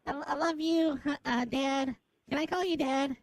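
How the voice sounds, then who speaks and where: A woman trades brash, profane insults on a prank call. Her voice sits a little high and sounds dry and close. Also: fairly even intonation, unhurried, no room sound. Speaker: prank caller.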